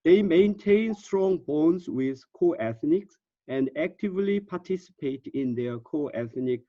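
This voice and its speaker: A man giving an academic conference presentation over a video call, halting often with filler sounds. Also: a little high, lively intonation, warm tone, no room sound, muffled recording.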